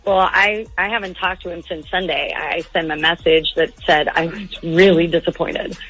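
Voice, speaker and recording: A woman's voice: on the low side, steady tone, normal speed, fairly bright tone, slightly rough, no room sound, soft background music, low-quality recording. A woman calling in to a radio show and talking candidly about her own life. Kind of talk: candid conversation on a radio call-in.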